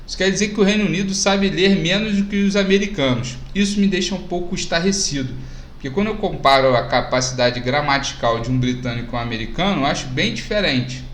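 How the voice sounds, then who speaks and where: A Brazilian man teaching economics to camera, casual and animated as he points out figures on a chart. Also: fairly high pitch, quick pace, echoey room. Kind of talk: lecture to camera over charts.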